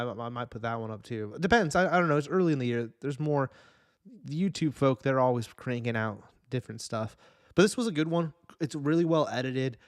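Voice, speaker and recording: A man's voice: mid-range voice, no room sound, studio quality. A man co-hosting a podcast in casual conversation, speaking informally with some swearing. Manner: profane, informal. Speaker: podcast co-host. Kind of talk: casual chat.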